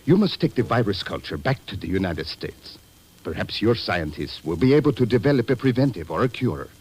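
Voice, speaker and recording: An actor playing a grave, courteous government doctor, speaking formally and solemnly in an old radio drama. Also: male voice, on the low side, big pitch swings, fairly quick, darkish tone, a little hiss or hum. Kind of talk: 1940s radio acting.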